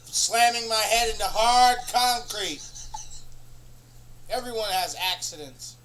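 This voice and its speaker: A man hosting a comedy show, rambling through an absurd, profane monologue in a deadpan way. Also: high voice, slow pace, slight background noise, rough recording. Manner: rambling, profane, deadpan nonsense with sudden bursts of laughter.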